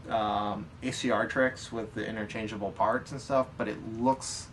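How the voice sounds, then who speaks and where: American male, lowish pitch, casual podcast co-host, rambling explanations, off-mic sound, slight background noise. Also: steady tone, moderate pace, fairly bright tone, distant mic. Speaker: podcast co-host answering listener questions.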